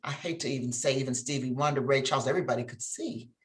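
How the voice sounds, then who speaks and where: Low-pitched woman, earnest panelist. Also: fast. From grew up in Geneva, Switzerland. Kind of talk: conversational panel discussion.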